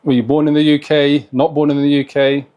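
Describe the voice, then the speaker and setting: A man's voice: a little high, little pitch movement, brisk, a little mellow. A man hosting a casual interview, asking questions in a friendly way and filling in with short encouraging replies. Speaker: host, interviewer.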